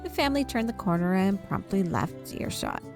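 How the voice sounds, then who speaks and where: A woman's voice: medium pitch, wildly swooping pitch, moderate pace, creaky, darkish tone. A woman streaming a visual novel, reading its narration aloud expressively and sometimes correcting herself mid-sentence. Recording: background music under the voice. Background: game music.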